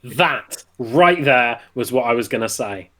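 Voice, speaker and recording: A man's voice: mid-range voice, animated, measured pace, sharp tone. Man making brief, wry remarks. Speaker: podcast co-host. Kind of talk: casual chat.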